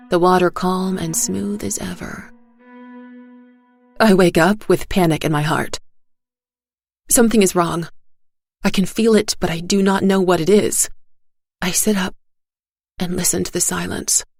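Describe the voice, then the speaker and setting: A woman tells a dark tale in a lowish, creaky voice. She takes her time, measured and suspenseful, over faint music. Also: dry recording. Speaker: story narrator. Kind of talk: dramatic first-person narration of a dark fairy tale.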